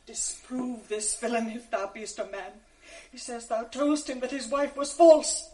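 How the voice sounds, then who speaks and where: Actress playing a furious maid in an old radio play, high voice, slow and cutting, yelling accusations. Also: female voice, lightly acted. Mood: accusing. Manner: fierce, accusing, cries out for help. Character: Emilia, a bold outraged lady's maid. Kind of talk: 1980s radio drama acting.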